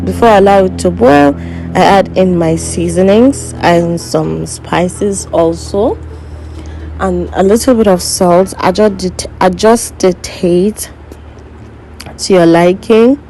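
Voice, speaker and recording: A young woman who is not a native English speaker, narrating her cooking step by step in an unhurried way and correcting herself as she goes. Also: lowish voice, mellow, rough recording. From Nigeria. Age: young adult. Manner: unhurried, self-correcting, instructive. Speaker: cooking vlogger.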